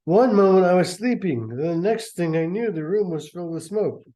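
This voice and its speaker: A man reading the lines of a drunk mother, his voice raised and slowed. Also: high-pitched, animated, dark tone, voice pushed up. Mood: defensive. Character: drunk mother, woman, dazed and defensive.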